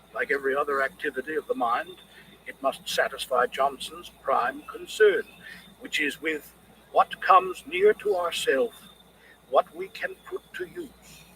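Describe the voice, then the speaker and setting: A man narrates an audiobook with a bright, forceful voice set slightly high. His delivery is measured and literary, with animated intonation that shapes each phrase. A faint layer of noise sits behind the reading. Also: low-quality recording.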